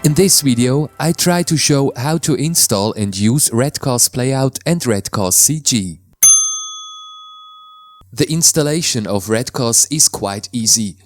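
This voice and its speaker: A man from Switzerland, a native Swiss German speaker with a Swiss German accent, calmly explaining how to install and use software in a tutorial voice-over, with slightly non-native phrasing. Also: mid-range voice. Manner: careful, plain instructional delivery in slightly non-native English.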